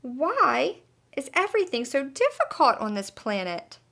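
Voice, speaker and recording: A woman's voice: high-pitched, sing-song, measured pace, creaky, fairly bright tone, voice pushed up, exaggerated voice. A woman voicing a naive, furry little alien creature in a children's storybook, exclaiming with wide-eyed emphasis. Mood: tearful, upset. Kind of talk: children's storybook read-aloud.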